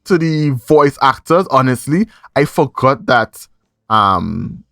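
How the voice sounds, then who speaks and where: A man's voice: medium pitch, very animated, takes it slowly, gravelly, fairly bright tone, dry recording. A Trinidadian man hosts a podcast in a mid-range voice. He chats casually through a movie review in slangy, looping phrases. The sound is dry, with hardly any room in it. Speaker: podcast host.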